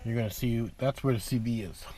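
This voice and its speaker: A man giving a casual, unscripted DIY walkthrough, explaining step by step in a plain-spoken, halting way. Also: mid-range voice, warm tone, slight background noise. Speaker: DIY how-to presenter. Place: in or beside a parked pickup truck.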